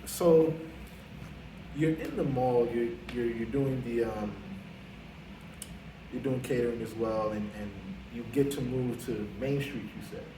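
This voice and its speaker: A man's voice: mid-range voice, unhurried, background noise. Male host, casual and affirming; echoey room, distant mic. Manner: friendly, affirming, with frequent fillers. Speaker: interview host, branch president. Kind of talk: casual interview conversation.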